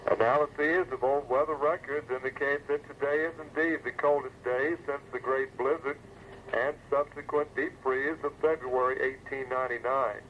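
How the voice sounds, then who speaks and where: A man's voice: medium pitch, fairly even intonation, moderate pace. A male announcer reading a scripted weather bulletin over a 1980s weather radio broadcast. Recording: muffled phone-like 1980s weather radio, faint noise.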